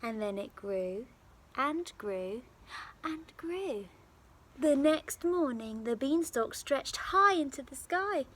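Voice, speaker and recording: A woman with a high voice reads to young children like a teacher. She is slow, very expressive and dramatic, with lively rises and falls. Faint outdoor noise sits behind her. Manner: expressive, dramatic, careful phrasing. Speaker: primary school teacher. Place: outdoors in a garden.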